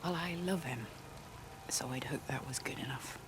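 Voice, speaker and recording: A caring, stubborn village woman in a story-driven game. Her voice is low and plain-spoken, set against clearly audible ambient noise. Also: moderate pace. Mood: subdued, resolute. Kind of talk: naturalistic video game voice acting.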